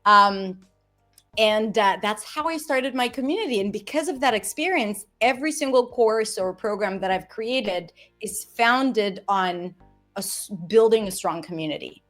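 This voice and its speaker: A woman's voice: medium pitch, a little slow, faint music behind. Israeli-accented woman telling her story. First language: Hebrew. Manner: enthusiastic, animated storytelling with restarts. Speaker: community founder, guest. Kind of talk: casual interview talk.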